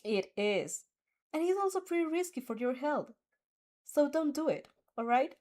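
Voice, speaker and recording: A woman voicing a calm, gently teasing teenage heroine in a fanfic audiobook. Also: sits high, normal speed, pitched above the performer's own voice.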